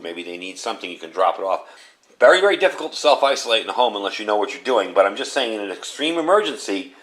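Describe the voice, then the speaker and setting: A man's voice: mid-range voice, a bit bright. An American man giving a casual product review in a plainspoken, conversational way, explaining things as he goes.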